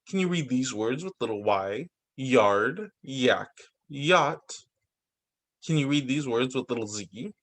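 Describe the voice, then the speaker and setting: Animated man reading a storybook aloud. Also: medium pitch. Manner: expressive, with informal asides. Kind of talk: animated read-aloud for young children.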